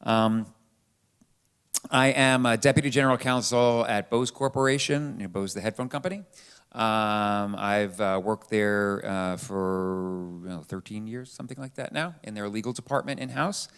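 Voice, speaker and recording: A middle-aged man casually introducing himself to a live audience, halting and wry as he goes. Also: on the low side, a bit bright, clean tone. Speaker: panelist. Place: church panel before an audience. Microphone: live sound microphone.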